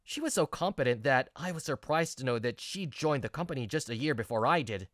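A woman's voice: extremely low pitch, a little fast, no echo, studio quality. A woman voicing an earnest, stressed young male office worker, narrating and acting in a manga video. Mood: reflective. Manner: earnest, expressive. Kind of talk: first-person narration and dialogue in manga video.

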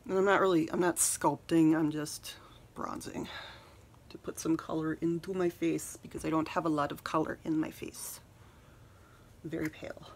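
A soft-spoken woman in her thirties talks through her makeup routine in a rambling, casual way, full of "um"s. Her voice has a gravelly fry and stays flat in pitch. She is recorded on a phone held close in a small bedroom. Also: normal speed, a little hiss or hum. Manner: rambling, says "um" a lot. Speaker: beauty vlogger. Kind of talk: casual get-ready-with-me chat. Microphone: phone camera at arm's length.

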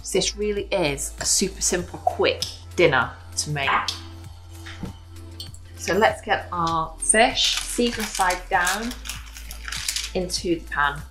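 A woman's voice: fairly low pitch, takes it slowly, long pauses, poor audio. A woman in her thirties giving a casual, instructional cooking demonstration as a home cooking host in a kitchen. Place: modern home kitchen, indoors. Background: background music, frying sizzle.

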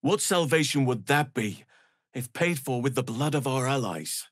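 An actor playing a calm, wise elven druid man in a video game, speaking in a measured, formal, earnest way. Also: medium pitch, no echo, clean studio sound, natural voice. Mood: earnest, firm. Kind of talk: video game character voice lines.

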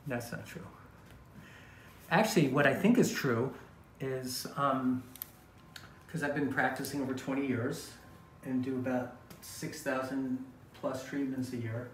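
A man's voice: mid-range voice, a little slow, long pauses. A middle-aged man explaining things casually to a patient as a chiropractor. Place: small treatment room indoors. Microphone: camera mic a short way off, speaking through face mask. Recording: roomy indoor echo, faint room noise.

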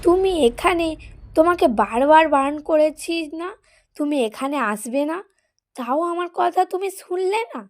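A woman's voice: very high-pitched, animated, normal speed, pitched above the performer's own voice. A woman voicing a stern but concerned village aunt in a cartoon story, scolding and cautioning. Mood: stern, grave.

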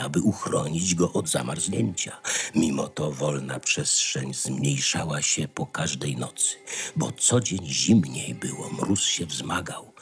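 A man narrating a fairy tale in a theatrical, expressive storytelling manner. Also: low-pitched.

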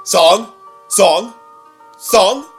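A young man giving excited, exclamatory reaction commentary, recorded on a webcam in a living room. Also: high voice, drawn-out words, faint music behind. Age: young adult. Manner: animated, exclamatory. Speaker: reaction video host. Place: living room, seated on a couch.

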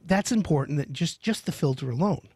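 Middle-aged male radio host, playful and emphatic, close-miked in a small studio; lively, mid-high voice, dry sound. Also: a little fast, slightly rough, dry recording. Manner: animated, emphatic, playful storytelling. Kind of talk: radio call-in interview. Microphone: large studio mic up close.

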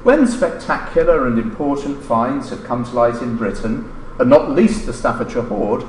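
A middle-aged man reading a scripted academic paper aloud at a lectern, formal and measured, with emphatic stresses. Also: medium pitch, expressive, a little mellow. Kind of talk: scripted conference lecture, read aloud. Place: indoor lecture room, standing at a lectern. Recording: roomy lecture-room echo, noticeable background noise.

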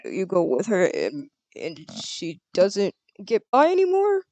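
A speaker improvises casually in a game roleplay, halting as they go. The pitch sits in the middle and the sound is dry and close. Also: huge pitch swings, darkish tone, dry recording. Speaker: player acting as a doctor in a game roleplay.

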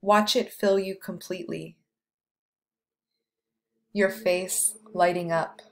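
A woman leading a guided meditation, speaking slowly and softly with long pauses between phrases. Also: on the low side, steady tone, creaky. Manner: slow, soft, soothing delivery with long pauses.

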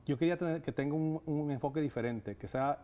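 A man's voice: fairly high pitch, brisk, dark tone. A man in his thirties from Ecuador, a guest in a TV studio interview, speaking earnestly with many filler sounds. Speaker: guest, nonprofit director. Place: TV studio set. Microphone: lapel mic.

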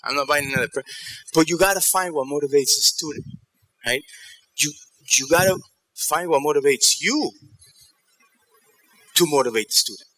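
Bright-voiced man giving a motivational talk. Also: fairly high pitch, moderate pace, long pauses, low-quality recording. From New York and Ecuador. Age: middle-aged. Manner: animated storytelling, checking in with the audience. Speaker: keynote speaker. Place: theater stage in a large auditorium.

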